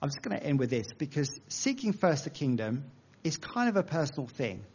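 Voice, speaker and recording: English male preacher, casual self-deprecating delivery, slightly high voice, dull muffled recording. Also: sing-song, fairly quick, darkish tone, husky. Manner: conversational, self-deprecating, uses analogies. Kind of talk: sermon.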